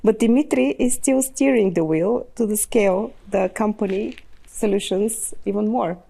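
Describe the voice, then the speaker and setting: Gravelly-voiced woman hosting a podcast. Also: mid-range voice, normal speed. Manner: warm-up laughs, gentle probing questions. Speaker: podcast host. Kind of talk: interview hosting with a read intro.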